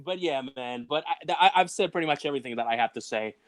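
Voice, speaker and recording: A man from Ecuador, guest on a casual comics chat, upbeat and animated in how he talks. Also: on the high side, quick pace, no echo. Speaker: guest co-host.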